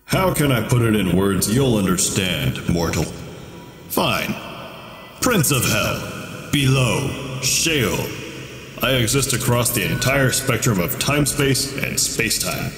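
Casting: man as cheeky, arrogant demon prince, very animated, theatrical podcast drama, cavernous echo with music behind. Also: mid-range voice, slow. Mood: boastful, sultry. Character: flamboyant, arrogant male demon prince of lust. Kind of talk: audio fiction podcast acting. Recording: echoing cave reverb with music behind.

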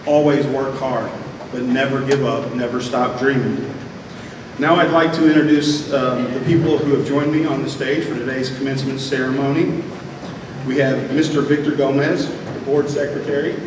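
A man's voice: mid-range voice, fairly even intonation. A man presiding at a graduation ceremony, formally introducing the people on stage at a podium microphone. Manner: measured, formal announcing. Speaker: college official presiding at commencement. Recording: echoey hall, distant, loud crowd noise.

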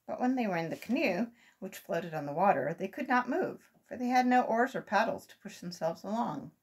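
A woman's voice: medium pitch, expressive, brisk, darkish tone, breathy edge. An older woman reading a children's story aloud expressively. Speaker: volunteer children's story reader. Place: small room at home.

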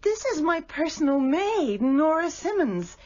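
An actress playing a haughty, gracious widowed baroness in a radio drama, with formal, emphatic, theatrical speech and a husky voice. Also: female voice, high voice, very animated, measured pace, a little mellow. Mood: shocked, dramatic. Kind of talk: British radio drama acting. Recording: muffled radio broadcast.

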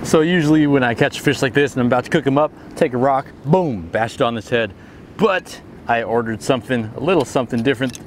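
A man's voice: medium pitch, fast talker. A man in his thirties chatting casually and informally as a fishing vlogger, with slangy exclamations. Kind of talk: casual outdoor vlog chat. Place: outdoors on rocky seashore. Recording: outdoor ocean surf noise.

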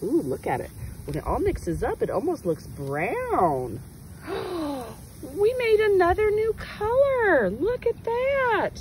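Female early-years teacher, unhurried and very animated, exclaims and prompts children with questions; windy, noisy outdoor recording. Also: extremely high pitch. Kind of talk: hands-on demonstration for children and parents. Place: outdoors in wind and sun.

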